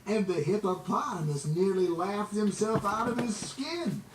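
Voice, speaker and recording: A man reading a children's story aloud in an expressive, dramatic way, drawing out words for emphasis. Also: high voice. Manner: animated, dramatic, drawn-out emphasis. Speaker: storybook reader. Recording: roomy, distant, noisy amateur recording.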